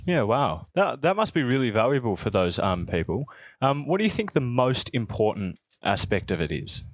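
A man's voice: medium pitch, sing-song, moderate pace, no echo. A man conducting a casual interview in an encouraging, appreciative manner.

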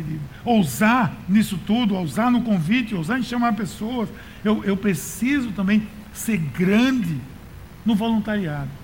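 High-voiced Brazilian man preaching. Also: a little hiss or hum. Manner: colloquial, exhorting, repeats phrases for emphasis. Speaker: pastor. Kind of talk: conversational sermon to a congregation.

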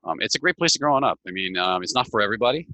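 A man's voice: on the low side, lively intonation, rapid-fire, pushed and bright, no room sound. A middle-aged man from Hawaii, a guest chatting casually and telling stories, often tagging "right?" onto his sentences. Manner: storytelling, many "right?" tags. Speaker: podcast guest. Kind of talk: casual interview chat.

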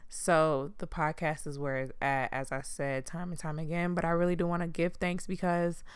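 A young woman hosting her own podcast, talking casually in a chill, low-key monotone with frank, profane asides. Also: normal speed, clear, resonant tone, no room sound. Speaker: podcast host. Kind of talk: casual solo podcast talk.

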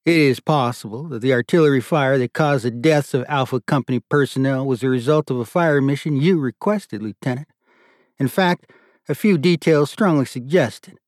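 A man voicing an authoritative middle-aged army colonel who talks in a commanding, booming way. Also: darkish tone, dry recording, clean studio sound, lightly acted.

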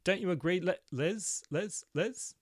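A man's voice: high-pitched, measured pace, no echo, studio quality, voice pushed up. A man voicing a restless, irritable young man who complains in a sarcastic, exasperated way.

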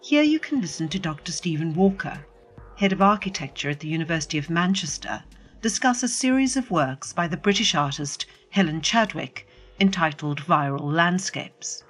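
Woman doing a podcast intro, fairly low voice, formal and measured, reading off a script. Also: lively intonation, soft background music.

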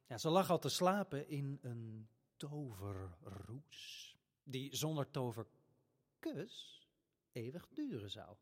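Bossy fairy-tale rescuer: mid-pitched, colloquial, down-to-earth, heard in a long reverb. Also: male voice, sing-song, slow, full character voice. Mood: matter-of-fact. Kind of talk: conversational radio-play dialogue.

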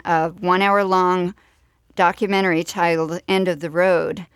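A woman's voice: on the low side, no echo, studio quality. A woman hosting a radio interview and introducing clips. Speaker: radio show host and interviewer.

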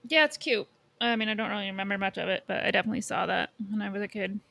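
A woman's voice: medium pitch, very fast, gravelly, pushed and bright, no echo. A woman co-hosting a podcast, chatting casually about pop culture and thinking aloud. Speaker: podcast co-host.

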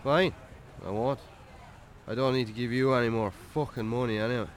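An actor playing a troubled, hard-drinking Irish farmer in a naturalistic radio drama, halting and sweary. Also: male voice, medium pitch, steady tone, a little hiss or hum, close to an everyday voice. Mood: bitter.

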